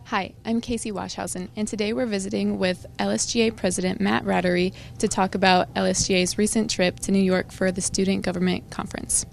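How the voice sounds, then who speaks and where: Young woman reporter with creaky voice. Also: mid-range voice, little pitch movement, brisk, few pauses, slight background noise. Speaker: campus news reporter. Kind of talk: interviewing, scripted introduction.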